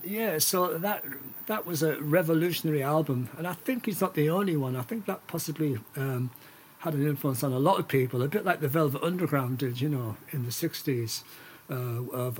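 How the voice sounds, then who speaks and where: Husky older man rambling on a podcast. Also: on the high side. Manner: hesitant, digressive, opinionated, wry. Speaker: podcast host and amateur musician. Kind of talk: casual rambling solo podcast chat.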